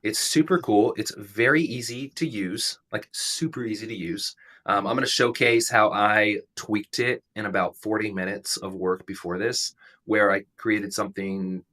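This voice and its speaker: A man in his thirties hosting a casual livestream, his voice raspy from allergies and a stuffy nose, rambling and joking about himself. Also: lowish voice, a little slow, sharp tone, recorded from a distance. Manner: rambling, self-deprecating joking. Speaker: livestream host.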